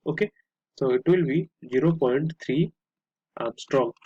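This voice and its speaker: A male tutor with an Indian accent and a husky, rough-edged voice explains a worked problem step by step. His delivery is halting, with repeated words and phrases. The recording is muffled and lo-fi, with noticeable room echo. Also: medium pitch, mellow. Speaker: physics tutor. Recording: muffled lo-fi, echoey room sound.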